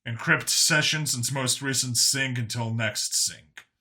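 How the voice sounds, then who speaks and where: Guy doing a gruff sardonic space cop in an audiobook, dry and curt, bright clear-cut voice. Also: medium pitch, a little slow. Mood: curt command. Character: gruff, sardonic human male prison cop.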